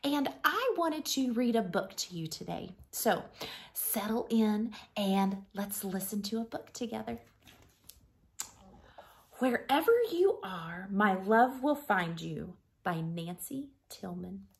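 Woman, thirties, slow pace, creaky voice, gentle storytime read-aloud for children, hushed line endings. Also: medium pitch. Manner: gentle, deliberate, expressive storytelling with softened, breathy line endings. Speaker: elementary school teacher reading to children. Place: small living room at home.

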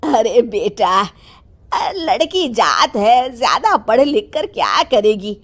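A woman's voice: a little high, animated, slow. A woman voicing a proud, bossy old grandmother in a cartoon, scolding and dismissive.

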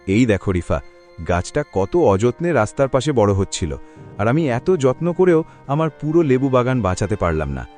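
A man voicing a humble, gentle, worried village farmer in a cartoon, earnest and plaintive. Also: mid-range voice, very animated, moderate pace, soft background music, lightly acted. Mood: wistful. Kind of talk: cartoon voice acting.

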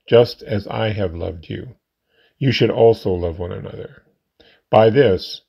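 Gravelly man reading scripture, muffled recording. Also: lowish voice. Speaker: scripture reader.

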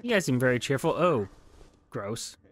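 A man's voice: mid-range voice, sing-song, normal speed, slight background noise. A man hosting a game playthrough, giving casual, musing commentary with wry asides into a close microphone. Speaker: gaming video host. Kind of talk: casual game playthrough commentary, reading on-screen text aloud. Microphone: close microphone, voice-over.

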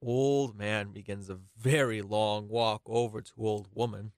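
A man's voice: on the low side, fairly even intonation, measured pace, dry recording, studio quality. A man as narrator, reading scripted stage directions for a radio play. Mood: matter-of-fact.

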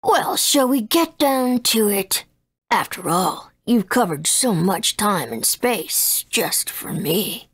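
Arrogant game villain, mid-pitched, animated, unhurried, bitterly scornful, dry studio sound. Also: female voice, breathy edge, dry recording. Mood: haughty, amused. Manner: imperious, theatrical, drawn-out. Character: haughty, bitter ruler of darkness who despises humans. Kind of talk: video game voice acting.

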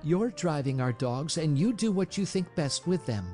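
A man's voice: a little high, expressive, normal speed, darkish tone, faint music behind, voice pushed up, lightly acted. A man voicing a dainty, fretful and sentimental young woman in an audiobook, exclaiming and pleading melodramatically. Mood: pointed.

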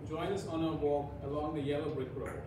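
A man's voice: a little high, little pitch movement, fairly quick, warm tone, lightly acted. A man playing a polite, agreeable Tin Man in an amateur pantomime, reading his lines plainly. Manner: plain script-read delivery. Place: community room with seated audience, far camera. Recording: distant, echoey, very noisy hall recording.

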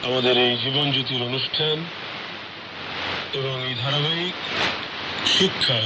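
A man's voice: mid-range voice, moderate pace, dull audio. A man presents a scripted radio programme, addressing listeners formally and courteously over a shortwave broadcast. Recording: noisy shortwave radio broadcast with heavy static. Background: loud radio hiss and noise.

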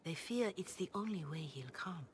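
A woman voicing a devout, compassionate older cleric in a video game, speaking in a formal, measured, earnest way. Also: lowish voice, lively intonation, close to an everyday voice. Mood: grave, cautious. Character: grave, devout, compassionate older Chantry mother. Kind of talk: video game dialogue acting. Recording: clear background noise and ambience.

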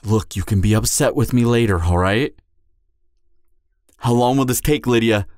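A man's voice: medium pitch, moderate pace, a little mellow, dry recording, clean studio sound, lightly acted. A man playing a devoted hunter boyfriend in an emotional audio roleplay, urgent and stammering, repeating himself as he pleads with his wounded lover. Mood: anxious, soothing.

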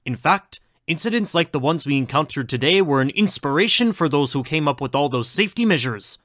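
A man's voice: on the high side, expressive, fairly quick, fairly bright tone, no room sound, studio quality. A man playing a genteel, cheerfully oblivious doctor from the past in an audio drama, formal and enthusiastic in manner. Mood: mildly reproving. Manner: formal, old-fashioned, enthusiastic.

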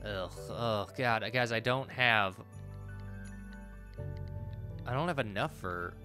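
Man, thirties, bright voice, casual gameplay commentary, self-deprecating, talking to himself, faint music behind. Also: on the low side, measured pace. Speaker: let's play gamer. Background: faint game music.

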